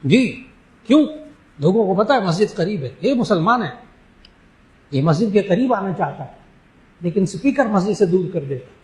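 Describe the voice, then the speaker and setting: A man from Pakistan, an Islamic scholar, giving an emphatic religious talk that answers questions and slowing down to stress key phrases. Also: high-pitched, animated. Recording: echoey room.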